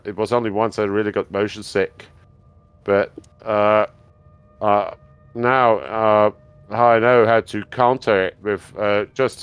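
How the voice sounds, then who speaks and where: A man's voice: lowish voice, clear, resonant tone, no room sound. Man, casual rambling chat, hesitant fillers, dry close sound. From Austria.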